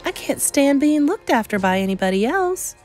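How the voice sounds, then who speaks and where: An excitable young mouse boy from a children's storybook, acted out by a woman. The voice sits high and swings about in pitch with earnest, inquisitive energy. Faint music plays behind it. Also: normal speed.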